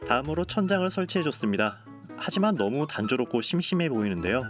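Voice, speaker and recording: A man narrating a game building video in a calm, polite, explanatory voice-over. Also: mid-range voice, fast. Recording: voice-over with clear background music.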